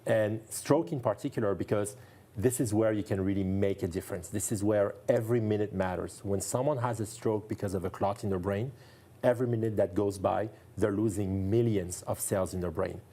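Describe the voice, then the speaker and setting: A man from France speaks English with a French accent, his voice deep and mellow. He talks as a guest doctor in a TV studio interview, earnest and enthusiastic, often repeating a phrase to stress it. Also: brisk. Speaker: neurologist guest.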